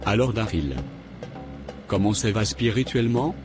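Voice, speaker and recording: A man's voice: lowish voice, moderate pace, music in the background, lightly acted. A man playing a self-assured older church brother. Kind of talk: animated cartoon voice acting.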